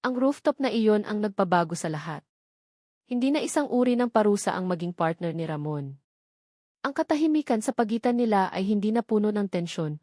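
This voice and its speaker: A woman storyteller delivers scripted romantic drama narration at a middle pitch. The sound is dry and close, with very little room around the voice. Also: mellow, no echo.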